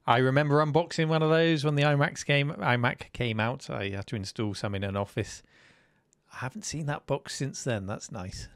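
A man's voice: medium pitch, normal speed, no echo, studio quality. A man hosting a live stream, chatting and reacting to viewers' videos. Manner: chatty, enthusiastic. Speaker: host.

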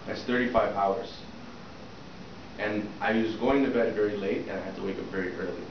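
A man's voice: on the low side, steady tone, normal speed. Middle-aged male trainer who keeps asking the class questions, recorded from the back of a small classroom, roomy, muffled and noisy. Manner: asks the room frequent questions, checking with "okay?". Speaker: soft skills trainer. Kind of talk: interview-prep workshop talk. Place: small classroom with a projector screen, indoors. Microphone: far camera at the back of the room. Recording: distant, echoey, muffled room recording with noise.

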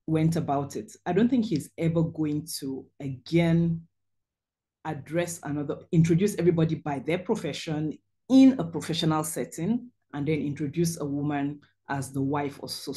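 A woman's voice: deep voice, warm tone. A middle-aged woman surgeon hosting a webinar on a video call, telling her own story over slides in an earnest way with frequent ums.

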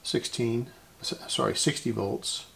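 A man's voice: medium pitch, unhurried, vocal fry, fairly bright tone, breathy edge. A man narrating a hands-on repair in a casual, methodical way, thinking aloud as he works. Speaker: host of a radio repair channel.

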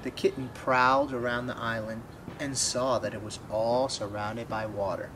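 A man in his twenties reads a children's picture book aloud with a mid-pitched voice. He is recorded outdoors from a short distance, with clear background noise like distant traffic under his reading. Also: level tone, normal speed. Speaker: storybook reader. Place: outdoors by a wooden fence.

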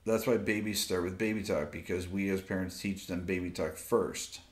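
Deep-voiced relaxed man in roomy studio. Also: normal speed. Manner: relaxed, rambling, many fillers, reading viewer questions aloud. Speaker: YouTube music channel host. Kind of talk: casual late-night livestream chat reading comments. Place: home music studio with amplifier stacks. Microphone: off-camera mic, seated at desk with phone.

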